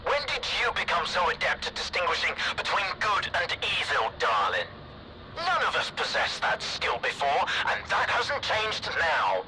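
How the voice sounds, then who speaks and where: Old scientist for a game cutscene, lecturing and idealistic, voice swoops up and down a lot, pitch sits a bit high. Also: male voice, wildly swooping pitch, normal speed, background noise. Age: older. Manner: grand, philosophical, sweeping. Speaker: doctor. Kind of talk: video game cutscene acting.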